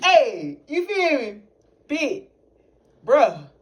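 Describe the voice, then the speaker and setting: A man's voice: extremely high pitch, drawn-out words. Rambling young man telling a wild story. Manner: rambling, animated, profane, many fillers. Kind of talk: casual storytelling testimony. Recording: noisy recording with clicks.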